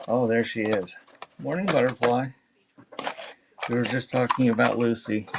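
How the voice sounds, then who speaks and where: A man's voice: medium pitch, steady tone, normal speed. A man hosting a casual livestream, talking in a rambling, offhand way between asides and items he reads out. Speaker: livestream auction host. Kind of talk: casual livestream chat while sorting cassettes. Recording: clearly noisy background, small clicks.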